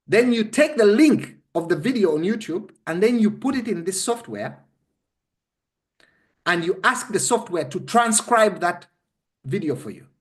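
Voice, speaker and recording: A man's voice: sits high, sing-song, unhurried. A man with a Ugandan accent coaching conversationally, explaining step by step in an emphatic, instructive way and often checking that he is understood. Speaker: interview guest explaining a method.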